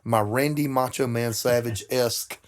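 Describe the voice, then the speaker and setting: Man, middle-aged, hoarse cold-worn voice, gravelly, casual podcast co-host, mock-dramatic humour, medium pitch. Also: fairly even intonation, moderate pace. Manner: jokey, self-deprecating, mock-dramatic. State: hoarse, getting over a cold. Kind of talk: casual comedic podcast chat.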